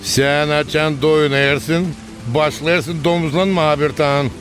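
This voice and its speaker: A man playing a kindly, rustic old father in a cartoon, with a Gagauz accent and a folksy, unhurried way of explaining. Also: on the high side, steady tone, a bit bright, faint music behind. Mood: teasing, proud. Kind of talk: animated cartoon dialogue.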